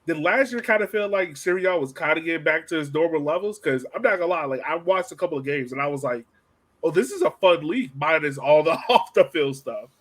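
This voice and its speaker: Podcast host, man, voice a bit on the high side, informal and slangy sports chat. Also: expressive, fast talker, fairly bright tone.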